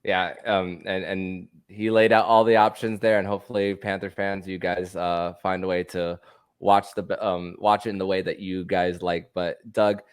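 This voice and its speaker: A man hosting a sports podcast, asking questions and thanking his guest, halting with many fillers and restarts. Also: deep voice, flat delivery, smooth, no room sound, clean studio sound. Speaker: podcast host. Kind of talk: casual sports podcast interview.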